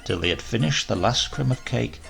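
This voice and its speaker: A man reading a literary crime tale aloud as a dramatic, expressive narrator. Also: mid-range voice, fairly quick, gravelly, loud music behind the voice. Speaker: story reader.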